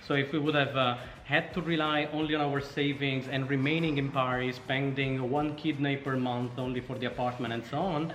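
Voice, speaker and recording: A man's voice: mid-range voice, steady tone, normal speed, hardly any pauses, a bit bright. Echoey Italian-accented man telling his story. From Sardinia, Italy. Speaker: startup co-founder, interview guest. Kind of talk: casual interview storytelling. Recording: echoey room, faint background noise.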